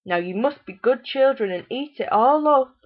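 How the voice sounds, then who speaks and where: A cruel storybook mother, played by a woman storyteller, scolding and menacing. Her voice jumps high and loud, with words stretched out theatrically. The recording is dull and lo-fi. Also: expressive. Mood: sickly sweet menace. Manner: scolding, drawn-out, theatrical delivery. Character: cruel, shrieking mother, false-sweet and menacing.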